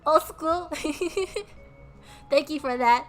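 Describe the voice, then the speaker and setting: A woman's voice: high voice, very slow, soft background music. A woman streaming a game, chatting casually with her chat in a bubbly, giggly way. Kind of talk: casual chat with live chat while playing a game.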